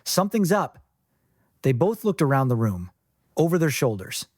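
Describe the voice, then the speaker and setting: A man reading scripted fiction as an audiobook narrator, in a measured storytelling manner. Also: mid-range voice, very animated, darkish tone, no room sound, studio quality.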